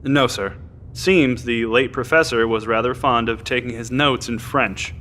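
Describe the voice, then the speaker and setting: Dutiful soldier, mid-pitched. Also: male voice, unhurried, sharp tone, a little hiss or hum. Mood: businesslike. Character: deferential, dutiful soldier with dry wit. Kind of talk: naturalistic audio drama acting.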